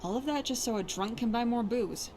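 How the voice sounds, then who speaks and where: A woman's voice: fairly high pitch, a little fast, a little mellow, a little hiss or hum, close to an everyday voice. A woman playing a tough, sardonic but caring adventuring companion in a fantasy video game. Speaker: voiced follower in a game mod.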